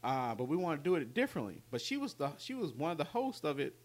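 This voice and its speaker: A man in his late thirties with a somewhat high, congested voice co-hosts a podcast. His nose sounds stuffed up from allergies. He talks casually and rambles, circling back over his own phrases. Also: sing-song, quick pace. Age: late 30s. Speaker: podcast co-host. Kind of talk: casual chat.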